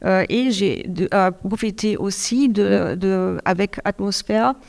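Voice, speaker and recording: A middle-aged woman from Germany speaking French with a German accent, giving a hesitant, halting caregiver's testimony with many restarts. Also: medium pitch, gravelly, darkish tone. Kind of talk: public testimony interview.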